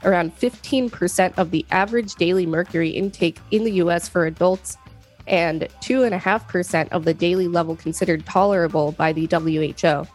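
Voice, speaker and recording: A woman's voice: fairly low pitch, brisk, soft background music. A woman reading an encyclopedia article aloud as a scripted narration.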